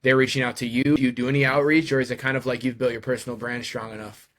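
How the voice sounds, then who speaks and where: A man's voice: medium pitch, flat delivery, racing, fairly bright tone. Man casually interviewing a guest.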